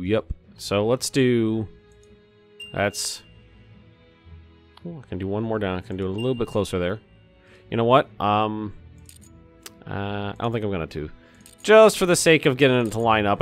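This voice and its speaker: A man with a slightly hoarse voice, casually commenting while he plays a city-building game, thinking aloud and cursing now and then. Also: lowish voice. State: a little hoarse. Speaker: let's play host.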